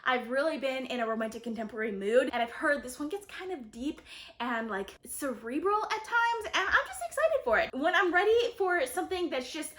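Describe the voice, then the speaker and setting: High-pitched, enthusiastic woman chatting casually. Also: very animated, brisk, fairly bright tone. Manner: enthusiastic, gushing, frequent "really" and "like". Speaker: book reviewer and vlogger. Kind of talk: casual chatty book haul talk to viewers.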